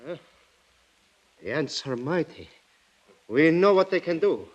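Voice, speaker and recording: Loyal plantation worker, high voice, very slow hesitant speech, earnest, old radio drama. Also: male voice, very animated, lo-fi sound. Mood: solemn, resolute. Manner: hesitant, deferential, deliberate. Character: humble, loyal male plantation foreman, earnest and steadfast. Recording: vintage radio recording.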